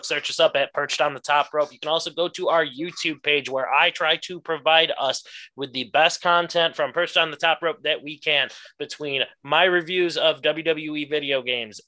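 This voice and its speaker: A man hosts a podcast in an upbeat announcer manner. His voice sits fairly high and sounds bright. He reads plugs with steady energy. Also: poor audio. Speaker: podcast host. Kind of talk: casual podcast chat and outro plug.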